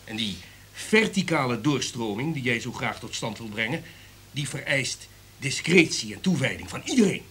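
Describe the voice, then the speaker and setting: An actor playing an imperious, irritable top executive in a radio drama, lecturing in a domineering, emphatic way. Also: male voice, medium pitch, lively intonation, normal speed, bright, forceful tone, a little hiss or hum. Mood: lecturing, commanding.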